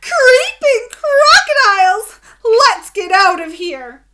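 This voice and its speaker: Woman playing a high-pitched, eager storybook cook. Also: very animated, takes it slowly, noisy background, full character voice. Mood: panicked. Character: anxious cooks serving and fleeing a crocodile.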